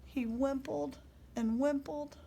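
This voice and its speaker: A woman with a slightly high voice reads a children's storybook aloud. Her narration is unhurried and very expressive, as a storyteller for young listeners. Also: creaky, slight background noise.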